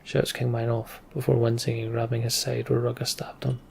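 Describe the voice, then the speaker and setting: A man's voice: medium pitch, monotone, brisk, creaky, a little mellow, a little hiss or hum. A man giving casual, muttered gameplay commentary and reading story text aloud, as a let's play host.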